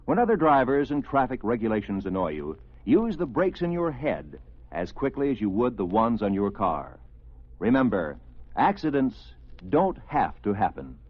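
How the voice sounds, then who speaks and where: Casting: American man for old-time radio announcement, animated intonation, earnest instructive read, dry studio sound. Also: medium pitch, moderate pace, no echo, muffled recording. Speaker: public service announcer. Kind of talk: scripted mid-century radio safety message.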